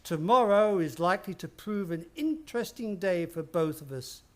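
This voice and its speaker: An actor playing a sly, menacing old villain in a radio suspense play, speaking with unctuous, theatrical courtesy. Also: male voice, sits high, moderate pace, lightly acted. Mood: gloating, menacing. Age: older. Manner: mock-polite, unctuous, theatrical.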